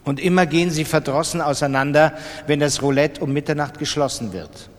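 A man reads literary fiction in standard German, with dramatic delivery. His voice sits a little high for a man and is carried by a long reverb. It is a radio narration in the first person. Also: brisk. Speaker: literary reader in a radio production. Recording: studio reading with long reverb.

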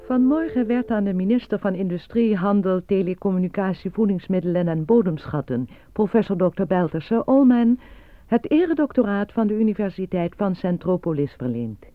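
An actress playing a formal, impassive newsreader, giving measured scripted bulletins within a radio drama. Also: female voice, mid-range voice, lively intonation, dry recording, close to an everyday voice. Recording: dry, tinny narrow-band radio sound.

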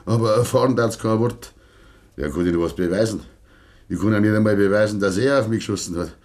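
An actor playing a stubborn, wounded older farmer with a Bavarian accent, gruff and terse in a 1950s dialect radio play. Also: male voice, on the low side, brisk, darkish tone, close to an everyday voice. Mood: grim, determined. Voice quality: gravelly, husky.

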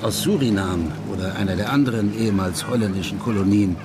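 A man's voice: on the low side, brisk, loud music behind the voice, close to an everyday voice. An actor playing a wry, laid-back Dutch ex-police detective telling his tales in a radio crime drama. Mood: wry, reflective.

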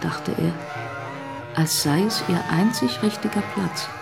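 Eine Frau erzählt als Sprecherin eines literarischen Hörspiels, gemessen und bedacht. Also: female voice, lowish voice, a little slow, vocal fry, over music. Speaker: narrator. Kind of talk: literary narration in a radio play.

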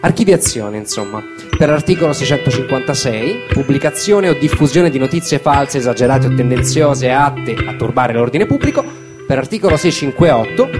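A man delivering an emphatic theatrical monologue, built on incantatory repetition, as a stage narrator. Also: mid-range voice. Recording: loud acoustic guitar accompaniment. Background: plucked guitar music.

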